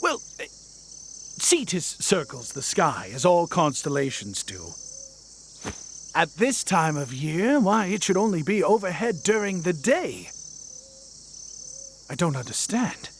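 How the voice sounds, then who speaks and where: A fussy, earnest astronomer in a game. His voice is high and swoops about theatrically, with flowery, precise phrasing delivered at an unhurried pace. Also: big pitch swings, slight background noise, exaggerated voice. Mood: intrigued, puzzled. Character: excitable, earnest, fussy male scholarly astronomer. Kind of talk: dramatic video game voice acting.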